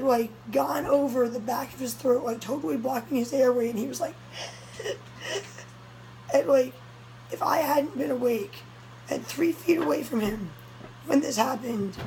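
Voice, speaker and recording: A young woman with a hoarse voice, telling a story in a casual vlog, urgent and breathless, filmed close up on a phone in a small room indoors. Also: sits high, warm tone, slight background noise, rough recording. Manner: breathless, urgent retelling of a scare. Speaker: cat vlogger. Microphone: phone camera held close, low angle.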